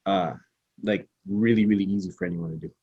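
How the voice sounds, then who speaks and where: A man chatting as a guest in a casual interview, speaking in a laid-back, halting way with lots of restarts. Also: sits low, steady tone, breathy edge, no room sound, lo-fi sound. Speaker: interview guest, small business owner.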